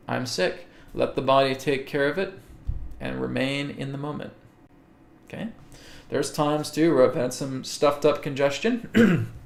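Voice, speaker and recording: A man hosting a live spiritual webcast, answering viewer questions slowly and deliberately and often checking in with "okay?". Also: mid-range voice, vocal fry. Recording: echoey room.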